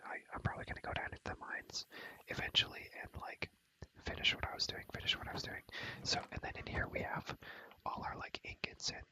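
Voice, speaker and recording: A young man whispering breathily in a casual, slangy ASMR gameplay commentary, rambling and repeating his phrases. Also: rough recording. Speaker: ASMR video creator, gamer.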